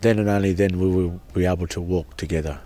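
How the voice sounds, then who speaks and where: A man's voice: deep voice, steady tone, quick pace, dark tone. A man giving an earnest, impassioned personal account as a protester, often repeating and restarting his words. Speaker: protester at a sacred site camp.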